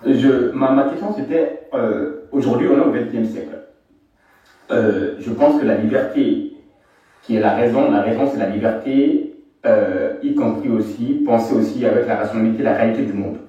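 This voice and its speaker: A hesitant man from Mali who keeps restarting his sentences as he makes his point. His voice is dark and mellow, and it sounds far from the mic in a very echoey space. The recording is dull and lo-fi. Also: medium pitch, normal speed. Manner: hesitant, repeats words. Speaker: caller. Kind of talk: live online debate.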